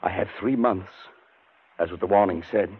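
An actor playing a scholarly man haunted by a curse, narrating a 1940s radio drama in a grave, deliberate, literate manner. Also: deep voice, gravelly, breathy edge, full character voice. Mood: anxious, resolute. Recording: muffled, scratchy vintage radio broadcast.

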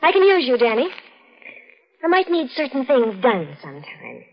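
Casting: scheming, melodramatic sweetheart for vintage radio thriller, high and swooping voice, dull old recording. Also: female voice, wildly swooping pitch, moderate pace, heavily acted. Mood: coldly threatening. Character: scheming sweetheart, blackmailer pleading desperately for her life. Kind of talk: 1940s radio acting.

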